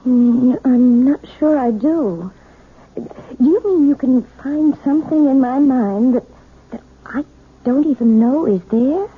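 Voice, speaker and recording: An actress playing a troubled, guarded, weary wife in a radio drama, speaking hesitantly and evasively. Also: female voice, high-pitched, measured pace, husky, phone-quality sound, heavily acted. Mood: puzzled. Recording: thin, band-limited old radio recording.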